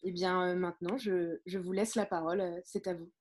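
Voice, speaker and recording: A woman moderating and presenting at a live event, speaking a little haltingly. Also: on the low side, fairly even intonation, darkish tone.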